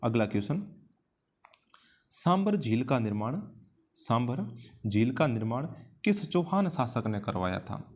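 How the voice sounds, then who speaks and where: A man's voice: medium pitch, big pitch swings, unhurried, long pauses, darkish tone, muffled recording. A man with an Indian accent teaching an exam-prep lesson in question-and-answer form, didactically repeating key phrases for emphasis. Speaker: history teacher.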